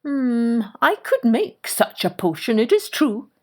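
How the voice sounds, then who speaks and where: A woman voicing a wise old male hermit in a storybook tale, speaking in a deliberate, mysterious way. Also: on the high side, big pitch swings. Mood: cryptic, measured.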